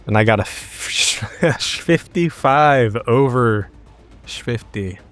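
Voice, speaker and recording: A man's voice: mid-range voice, expressive, takes it slowly, faint music behind. A man playing a tabletop game, casually describing his character's actions in a loose, joking, slangy way.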